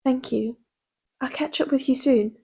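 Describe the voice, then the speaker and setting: An actress playing a grieving young woman, who speaks in a subdued, halting way in an audio drama. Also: high voice, a little mellow, husky, heavily acted.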